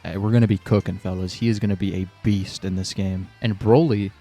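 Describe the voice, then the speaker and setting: Excitable man with a slightly sick voice. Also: low voice, a little fast, soft background music. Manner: excitable, slangy, calls viewers fellas and bro. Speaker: gaming channel host. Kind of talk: casual gameplay commentary.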